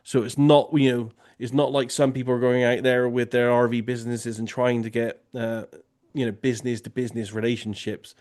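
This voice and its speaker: A man's voice: medium pitch, flat delivery, brisk, darkish tone. A man hosting an online question-and-answer session, talking loosely with many "you know" fillers. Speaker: remote viewing tasker and session host. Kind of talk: casual online Q&A discussion.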